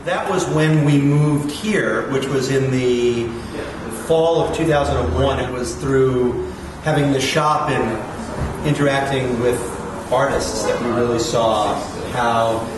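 A man's voice: medium pitch, slow pace, distant mic. Man speaking off-mic in a roomy store. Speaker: bookstore owner, guest. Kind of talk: interview answers. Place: indoor gallery bookstore. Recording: roomy, off-mic with background noise.

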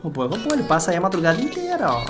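A man's voice: high-pitched, huge pitch swings, very fast. A man in his thirties or forties with a Brazilian accent, chatting casually and teasing playfully during gameplay. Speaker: gaming channel host. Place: room at home, indoors. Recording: loud game music behind.

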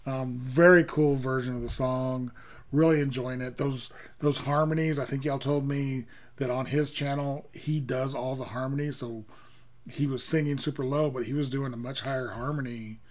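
A man's voice: mid-range voice, steady tone, normal speed. A middle-aged man hosting a music reaction from home, talking casually and enthusiastically with lots of ums and restarts. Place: home room with Halloween decor and lights.

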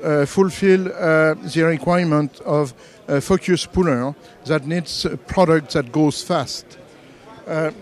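A middle-aged Frenchman explains equipment at a trade show booth, speaking into a handheld microphone. His voice sits a little high, and his English is halting and full of "uh" fillers as he searches for words. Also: a little mellow. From France. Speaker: company representative demoing products. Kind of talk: trade show product demo. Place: trade show booth, indoors, busy hall.

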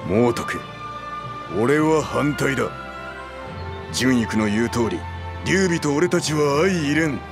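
Male game role: gruff middle-aged war general, blunt, medium pitch, score playing behind. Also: animated, slow, pauses often, music in the background. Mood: blunt, resolute. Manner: blunt, firm, rough masculine speech. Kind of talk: Japanese video game cutscene acting.

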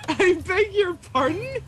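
Giggly woman on a call, baffled, very high voice, swooping, slow. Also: animated, fairly bright tone. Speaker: player on a call.

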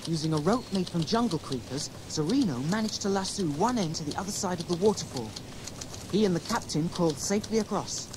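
A man's voice: sits high, expressive, fairly quick. An actor playing a plucky, earnest young male adventurer with an English accent in a radio drama. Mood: dramatic narrating. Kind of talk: radio drama acting with narration. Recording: noisy radio drama sound effects behind.

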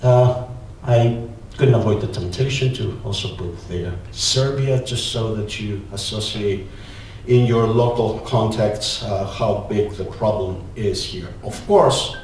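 A middle-aged man with a Greek accent giving a conference talk at a lectern, often pausing with "uh". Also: lowish voice, a little mellow. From Greece. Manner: hesitant, many filler "uh"s. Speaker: conference presenter. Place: large lecture hall, at a lectern. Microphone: lectern mic, filmed by a far camera. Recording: very echoey hall, distant mic, faint hiss.

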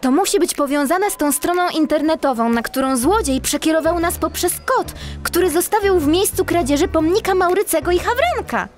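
A woman's voice: very high-pitched, lively intonation, faint music behind. A woman playing an eager, clever young female cat detective in a children's audio drama, earnest in manner. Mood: confident, eager. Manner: earnest, eager, quick to urge others on.

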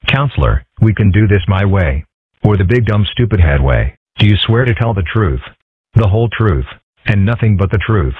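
A man voicing deadpan male animals in a comic strip dub, with a stilted reading. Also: fairly low pitch, moderate pace, slightly rough, no echo. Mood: stern. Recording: muffled, narrow phone-like sound.

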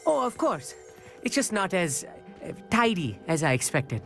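Fantasy game companion: nervous, earnest schoolboy astronomy nerd, lively intonation, music behind. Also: male voice, high-pitched, unhurried, gravelly, exaggerated voice. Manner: earnest, slightly formal, chatty. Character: eager, bookish, nervous teenage boy astronomer. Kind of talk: scripted game dialogue.

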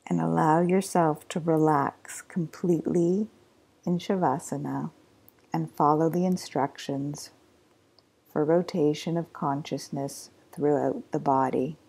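A woman teaching a guided yoga nidra relaxation, giving slow, soft body instructions with long pauses. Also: deep voice, fairly even intonation, creaky, slightly rough, poor audio. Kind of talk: guided meditation.

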